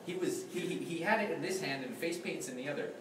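A man playing a clown in a comic interview, telling rambling, excitable stories. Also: on the high side, fast. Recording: distant echoey recording with background noise.

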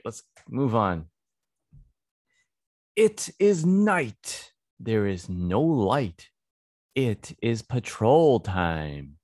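Very slow, low-pitched man teaching reading. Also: a little mellow, no room sound, clean studio sound. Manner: deliberate, repeats words and sentences. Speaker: English reading teacher for children. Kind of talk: slow read-aloud phonics lesson. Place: small indoor home studio. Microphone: close desk microphone on boom arm.

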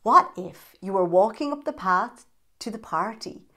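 A woman's voice: medium pitch, lively intonation, unhurried, a bit bright. A woman in her thirties hosting storytime, reading a picture book aloud expressively to young children with dramatic emphasis.

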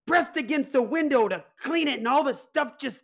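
Man acting a harried mob boss, wildly swinging pitch. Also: lively intonation, normal speed, gravelly, heavily acted. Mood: anguished. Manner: exasperated, blustering. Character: harried, anxious mob boss father who secretly runs pizzeria. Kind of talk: comedic radio play acting.

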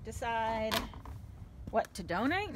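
A chatty middle-aged woman with a fairly high voice, giving upbeat commentary as she sorts through clothes. She is recorded outdoors on a handheld phone, and the recording has clear background noise. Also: big pitch swings, slow. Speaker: vlog co-host. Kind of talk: casual chat. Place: outdoors in a yard. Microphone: handheld phone camera.

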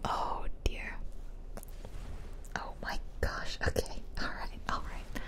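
Whispering woman, slow and close to the mic. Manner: playful, casual murmured asides. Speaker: gaming streamer. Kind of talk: whispered ASMR game commentary. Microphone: close ASMR microphone, ear to ear.

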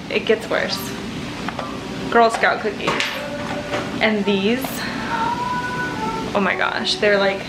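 A woman's voice: mid-range voice, rough recording. A young adult woman chatting casually as a fitness vlogger into a handheld camera at home. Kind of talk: casual vlog chat. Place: home kitchen and room indoors. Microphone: handheld camera at arm's length. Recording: clear household background noise.

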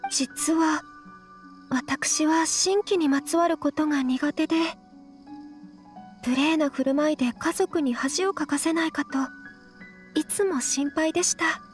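Sweetly formal young noble lady, really high, modest, game dialogue over faint music. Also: unhurried, pauses often. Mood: shy, hopeful. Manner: very polite, formal, slightly hesitant. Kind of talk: Japanese video game voice acting.

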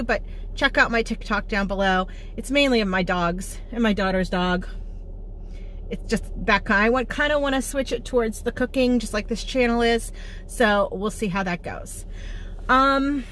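A woman's voice: on the high side, fairly bright tone, slight background noise. A middle-aged American woman talking informally and chattily to viewers as a home cooking and lifestyle vlogger. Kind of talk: casual chatty vlog to viewers.

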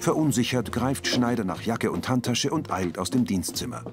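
A man's voice: medium pitch, lively intonation, normal speed, music in the background. A man reading scripted audio description, narrating scenes in the present tense.

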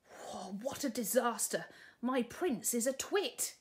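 A woman's voice: fairly high pitch, lively intonation, slow. A woman voicing a bold, impatient young storybook princess in a cheeky, exclamatory way. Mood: exasperated. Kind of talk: storybook character reading.

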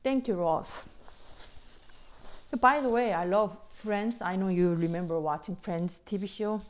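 A middle-aged woman, not a native English speaker, excitedly chatting to viewers about bargain clothes in simple English with many "you know"s. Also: mid-range voice, measured pace. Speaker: vlogger showing a shopping haul. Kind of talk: casual chat to viewers.

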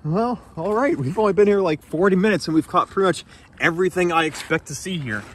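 A young man vlogging outdoors in a tidal marsh at night, talking excitedly and casually about the fish he catches. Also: high voice, fast, a little hiss or hum. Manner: enthusiastic, chatty, slangy. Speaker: micro-fishing vlogger. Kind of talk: casual outdoor vlog narration.

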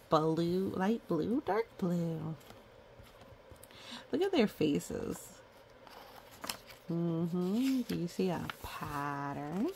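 A woman storyteller reads to children at an unhurried pace, with a noticeably creaky edge to her voice. She is playful, dropping in little comments and counting in a sing-song way. There is some background noise on the recording. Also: on the low side, darkish tone. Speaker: children's book read-aloud storyteller. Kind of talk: picture book read-aloud with chatty asides to children.

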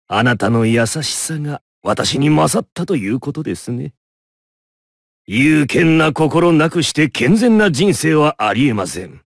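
An eccentric, philosophical gentleman doctor from a fighting game. His speech is courteous and measured, yet theatrical. The mid-pitched male voice swings dramatically up and down, recorded very dry. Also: no room sound. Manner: courteous, measured, theatrical, swinging from grave murmurs to high exclamations. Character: eccentric male doctor with a paper-bag head, polite and philosophical. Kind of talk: video game victory lines, theatrical Japanese voice acting.

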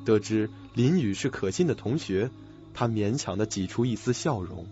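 A man's voice: fairly low pitch, lively intonation, normal speed, dark tone. A man narrating a scripted audio drama on a lo-fi recording. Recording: dull lo-fi recording, faint music behind.

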